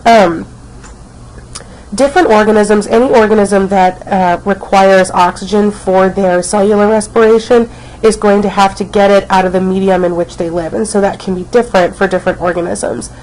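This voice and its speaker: A woman's voice: mid-range voice, fairly even intonation, moderate pace, a little mellow, rough recording. A woman teacher recording a casual biology lecture, snuffly from a cold, with many ums as she talks. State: has a cold, snuffly and coughing. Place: at home, not at school.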